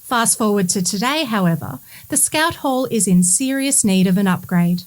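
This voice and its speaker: A woman reads a local news story from a script. Her voice sits at a middle pitch, and her delivery is measured and formal, suited to a community bulletin. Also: expressive. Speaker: reader of community bulletin stories. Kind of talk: scripted news narration, read aloud.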